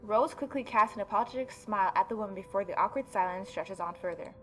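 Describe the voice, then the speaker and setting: Expressive woman doing a let's-play, music behind. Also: mid-range voice, fast. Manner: expressive, interjects mocking asides. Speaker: gaming YouTuber. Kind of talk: let's-play reading aloud with reaction commentary.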